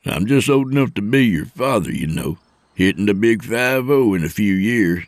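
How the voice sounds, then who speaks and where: A man's voice: on the low side, lively intonation, no echo, clean studio sound, pitched above the performer's own voice. A man voicing a teasing, dramatic trucker, sarcastic, in an audiobook story. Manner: drawn-out sarcastic ribbing. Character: teasing male trucker in late forties, wry, dramatic.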